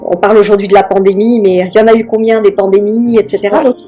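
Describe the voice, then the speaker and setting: Hesitant woman with a mellow voice. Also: mid-range voice, fairly even intonation, dull audio, faint music behind. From France. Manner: hesitant, many pauses and restarts. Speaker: guest. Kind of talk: reflective interview talk.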